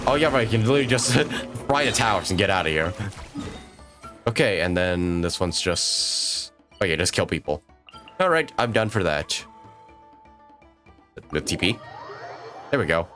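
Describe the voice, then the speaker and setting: Low-voiced man rambling on a gaming stream. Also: lively intonation, normal speed, pauses often, fairly bright tone. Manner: hesitant, rambling, thinking aloud. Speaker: game livestreamer. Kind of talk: casual gaming livestream chat. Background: video game music.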